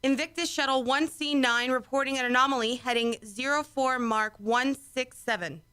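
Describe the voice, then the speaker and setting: A woman plays an earnest young ensign at a shuttle's controls in a sci-fi radio drama. Her voice is very high, and she delivers formal, dutiful military reports. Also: fairly even intonation, moderate pace, pushed and bright, clean tone. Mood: alarmed. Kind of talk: science fiction radio play acting.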